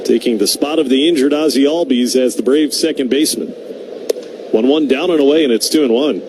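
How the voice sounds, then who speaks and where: A man's voice: medium pitch, fairly quick, noisy background. A man calling a baseball game as live radio play-by-play.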